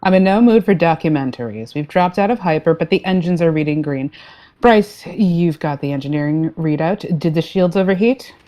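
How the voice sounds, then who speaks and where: A woman's voice: sits low, moderate pace. A woman playing a no-nonsense, jaded starship captain with a dry delivery in a sci-fi comedy play. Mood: businesslike. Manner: dry, deadpan.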